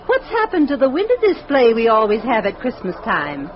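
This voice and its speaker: A hissy, muffled vintage radio recording carries a respectful working mother. Her fairly high voice is very animated, fond and deferential in old radio drama manner. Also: normal speed. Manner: respectful, gently chiding. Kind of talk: 1940s radio drama acting.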